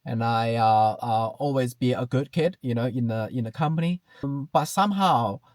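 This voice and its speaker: A man's voice: mid-range voice, moderate pace, darkish tone, no room sound. Hesitant man in his thirties, from China. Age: 30s. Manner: hesitant, many fillers, reflective. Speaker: vlogger. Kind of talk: casual self-talk ramble. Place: car.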